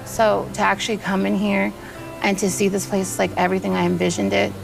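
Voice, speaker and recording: A woman's voice: mid-range voice, flat delivery, a little fast, creaky, rough recording. A woman in her thirties, a salon owner and makeup artist, speaking earnestly and from the heart. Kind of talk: casual emotional conversation. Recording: background music under voice.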